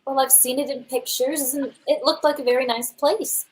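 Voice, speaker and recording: A woman's voice: sits high, fairly even intonation, moderate pace, natural voice, poor audio. A woman playing a guarded, timid librarian in a live radio play, prim in manner. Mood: guarded, weary.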